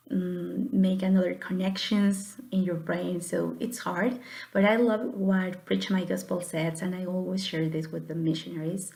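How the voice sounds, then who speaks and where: A young woman giving an earnest, encouraging speech, sometimes halting and restarting her sentences. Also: lowish voice, gravelly, darkish tone. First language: Spanish. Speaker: student giving a class speech. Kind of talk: prepared personal speech.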